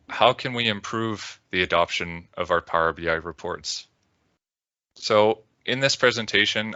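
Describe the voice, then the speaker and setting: Low-voiced Canadian man presenting. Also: sharp tone, no room sound, dull audio. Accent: Canadian English. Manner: measured, deliberate, with filler "uh"s. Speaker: conference speaker. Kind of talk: presenting a technical talk.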